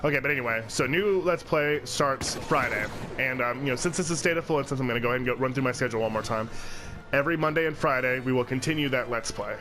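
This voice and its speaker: A man's voice: medium pitch, quick pace, noisy background. Stuffy-voiced man rambling over gameplay. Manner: rambling, with frequent fillers and restarts. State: congested from a cold, sniffling. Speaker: let's play channel host. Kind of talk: casual gameplay commentary.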